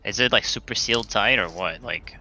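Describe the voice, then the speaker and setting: A man's voice: fairly low pitch, fairly quick, vocal fry, pushed and bright. A man chatting casually as a player in a tabletop game. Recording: faint music under the voice.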